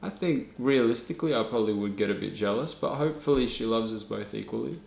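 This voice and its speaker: A young man chatting casually with his partner in a Q&A vlog, telling stories with lively acted-out quotes. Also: on the low side, quick pace, creaky. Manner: animated storyteller, acts out quotes. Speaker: vlogger co-host. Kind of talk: casual couple Q&A chat. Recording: roomy echo.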